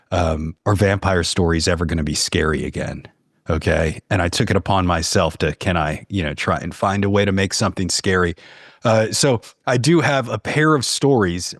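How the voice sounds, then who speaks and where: Deep-voiced American man, casual storyteller. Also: no room sound, clean studio sound. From United States. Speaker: podcast guest, horror fiction writer.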